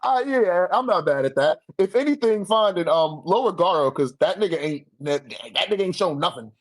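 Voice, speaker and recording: A man's voice: sits high, animated, normal speed, dry recording. A man in a casual debate, speaking informally with heavy slang and swearing. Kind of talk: casual debate about manga character rankings.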